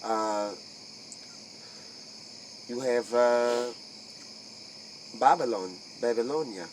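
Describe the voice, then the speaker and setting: A man playing a secretive vampire clan member in a comic interview, speaking halting, repetitive broken English. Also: mid-range voice, darkish tone. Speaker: interview guest in character. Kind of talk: improvised comic role-play interview. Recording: noisy, crickets and insects behind.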